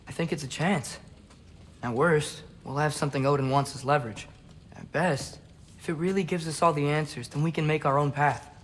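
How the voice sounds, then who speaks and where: Game casting: male actor for a peacemaking teen son, lightly high-pitched, earnest and hopeful. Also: fairly even intonation, normal speed, slight background noise, lightly acted. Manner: earnest, gentle reasoning. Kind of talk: video game cutscene acting.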